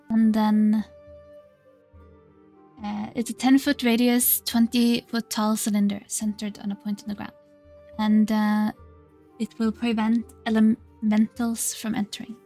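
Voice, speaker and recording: Female tabletop player, higher pitch, flat delivery, hesitant and methodical. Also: unhurried, soft background music. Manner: hesitant, reads rules step by step. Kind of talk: casual tabletop game chat.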